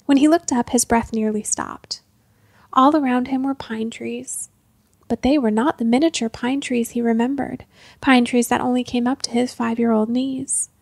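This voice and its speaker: High creaky-voiced woman narrating a story. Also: a little mellow, dry recording.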